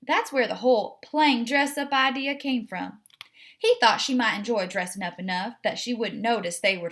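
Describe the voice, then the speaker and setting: A woman reading a children's story aloud as narrator, in an expressive storytelling style. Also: mid-range voice. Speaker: story reader.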